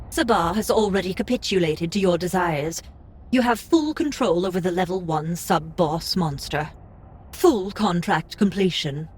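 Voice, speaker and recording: A cool, formal game system interface reads out its notices in an audiobook. The voice is low for a woman and husky, with a measured, official delivery.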